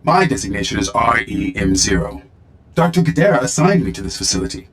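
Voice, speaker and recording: A man's voice: lowish voice, big pitch swings, brisk, a bit bright. Echoing, scornful male droid. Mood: clipped, factual. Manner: clipped, formal, contemptuous. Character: scornful, blunt surveillance droid with fierce loyalty. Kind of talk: scripted video game dialogue.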